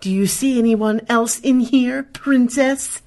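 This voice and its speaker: A woman playing a haughty, scornful and menacing young female apprentice of the dark side in an audio drama. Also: on the high side, slow, a little hiss or hum. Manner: imperious, scornful. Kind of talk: science-fiction audio drama acting.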